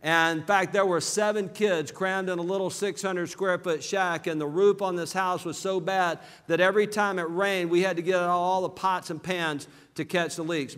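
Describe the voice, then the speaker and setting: Energetic southern guy working a seminar crowd, resonant voice, echoing big hall. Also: sits high. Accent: Southern American. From southern Alabama, USA. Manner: energetic, calls for audience response. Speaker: business seminar speaker. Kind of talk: motivational seminar talk. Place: large hall with live audience. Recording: reverberant hall.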